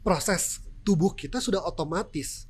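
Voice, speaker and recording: A man's voice: high-pitched, normal speed, slightly rough. A man with a Sundanese accent, a native Sundanese speaker, explaining his work as a presenter in casual interview talk with acted-out examples. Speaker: television news presenter, podcast guest.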